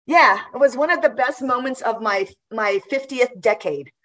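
A woman's voice: fairly high pitch, moderate pace, a bit bright, rough recording. Chatty, laughing woman in her fifties. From Guam. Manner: chatty, laughs often, many restarts. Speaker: guest athlete. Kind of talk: casual interview chat.

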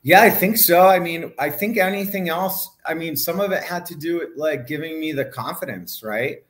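A man's voice: a little high, normal speed, a bit bright. A middle-aged man from Hawaii, a guest in an interview, talking casually and rambling, with many fillers and restarts.

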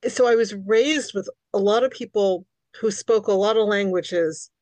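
A woman's voice: medium pitch, normal speed, darkish tone, dry recording. A woman in her sixties from Queens, New York, whose first language is Hungarian, talking animatedly as a guest in a podcast interview and often repeating words as she starts a thought. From New York City, Queens. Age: 60s. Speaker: guest author.

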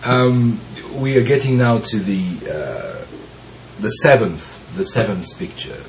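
A man who is recovering from bronchitis, so his voice sounds deeper than usual, gives a slow, reflective teaching talk with frequent pauses and the occasional soft laugh. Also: very animated, gravelly, a little hiss or hum, low-quality recording. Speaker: Zen teacher.

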